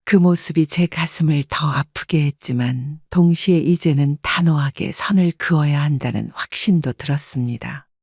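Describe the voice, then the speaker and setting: Female audiobook narrator, even and measured, dry studio read. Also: sits low, no echo. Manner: steady, even-paced storytelling. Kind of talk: scripted first-person story narration.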